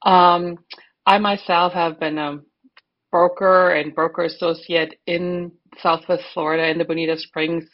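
A woman's voice: fairly low pitch, level tone, a little slow, lo-fi sound. A middle-aged woman with a German accent, a real estate broker chatting as a podcast guest, emphatic and full of fillers. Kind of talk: casual interview chat.

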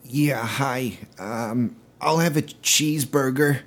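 Sketch comedy customer: male, plain, hesitant, slow delivery, mid-range voice. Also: mid-range voice. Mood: casual, hesitant. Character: hesitant ordinary male customer ordering fast food.

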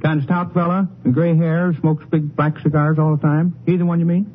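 A man's voice: on the high side, level tone, normal speed, natural voice. A man playing folksy Texan hotel clerk, phone-thin sound. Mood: friendly, eager. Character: folksy, chatty, eager-to-please small-town hotel clerk. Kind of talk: 1950s Western radio drama acting. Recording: muffled, noisy old radio recording.

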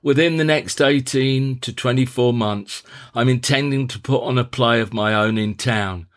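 A man narrating a scripted, reflective personal diary in a measured, deliberate, formal manner. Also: mid-range voice, no echo, studio quality. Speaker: diarist, playwright.

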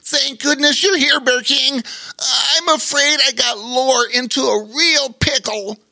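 A man's voice: very high-pitched, fairly even intonation, slow, gravelly, bright, forceful tone, no room sound, pitched above the performer's own voice, exaggerated voice. A man voicing an earnest, anxious young male river otter in a children's storybook. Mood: sad, apologetic.

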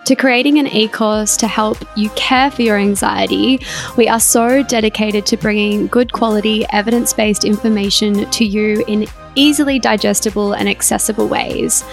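A woman's voice: mid-range voice, moderate pace, vocal fry, faint music behind. A woman co-hosting a psychology podcast, chatting and telling stories with lots of laughter. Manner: giggly, animated storyteller. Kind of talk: casual chat.

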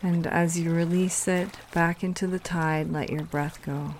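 Very slow-paced woman guiding meditation. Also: on the low side, fairly even intonation, darkish tone, slight background noise. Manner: soothing, unhurried instruction with pauses. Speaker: meditation guide. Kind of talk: guided meditation.

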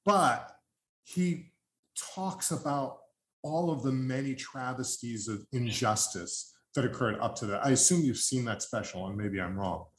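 A man's voice: medium pitch, unhurried. Earnest older man in an echoey room. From Long Island, New York. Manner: rambling, self-correcting, earnest. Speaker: podcast host. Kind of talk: casual interview chat.

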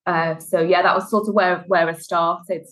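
A woman's voice: fairly low pitch, fairly even intonation, normal speed, a bit bright. Scouse woman, thirties, interview guest; chatty, storytelling, natural fillers. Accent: Liverpool (Scouse). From Liverpool, England. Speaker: guest, HR consultancy director. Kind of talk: conversational interview.

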